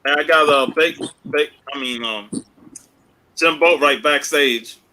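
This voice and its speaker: A man's voice: fairly high pitch, unhurried, background noise. A man hosting a casual online group chat. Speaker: host. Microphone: online group call.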